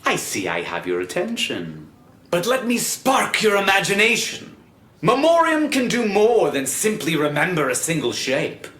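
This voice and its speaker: Grandiose, high-voiced scientist showman in echo. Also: huge pitch swings, normal speed, bright, forceful tone, heavily acted. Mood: proud, grandiose. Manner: theatrical, grandiose, salesmanlike. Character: pompous male scientist showman unveiling an invention. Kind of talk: scripted audio drama stage presentation. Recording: roomy, echoing hall sound.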